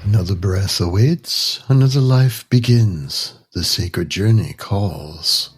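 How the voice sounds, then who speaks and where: Husky, low, solemn cult doorkeeper. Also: male voice, slow. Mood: ritual solemnity. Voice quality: husky, altered by a mask. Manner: ceremonial, grave. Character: solemn masked male cult doorkeeper in dark robes. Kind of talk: audio drama acting.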